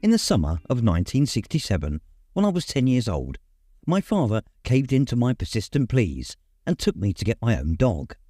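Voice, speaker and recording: A man reading a memoir aloud as an audiobook narrator, in measured storytelling phrases. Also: mid-range voice, very animated, warm tone, no echo, clean studio sound, faint music behind. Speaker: audiobook reader. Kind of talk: scripted literary narration.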